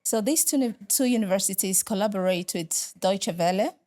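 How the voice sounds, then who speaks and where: A middle-aged woman from Nigeria whose first language is Igbo, speaking English as an interview guest. She talks at a middle pitch, slowly and carefully, with frequent fillers and restarts. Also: dark tone. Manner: hesitant, measured, many fillers and restarts. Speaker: guest, religious sister and lecturer. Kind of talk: interview answers.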